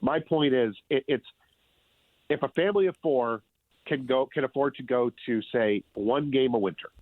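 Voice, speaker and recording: A man's voice: mid-range voice, moderate pace, no echo. A man speaking as a sports writer guest, giving conversational sports commentary in an emphatic way, repeating phrases for effect. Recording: thin phone-like line.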